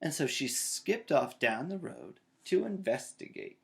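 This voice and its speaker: A man's voice: a little high, very animated, a little slow, creaky. A man in his thirties narrating a children's storybook aloud. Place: small child's bedroom, indoors.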